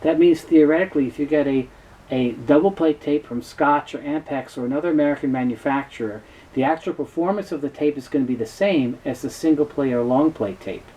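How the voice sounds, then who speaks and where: A man in his fifties giving an unscripted explainer in a halting, matter-of-fact way. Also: a little high, dark tone, low-quality recording. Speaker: presenter, tape specialist. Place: cluttered indoor workroom with shelves. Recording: clear background noise, room ambience.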